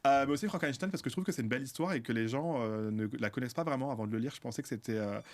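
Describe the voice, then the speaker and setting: A young man from France, a podcast guest, chatting casually with lots of fillers and restarts. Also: rapid-fire. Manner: chatty, many fillers and restarts, bursts of high laughter. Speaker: podcast guest, book content creator.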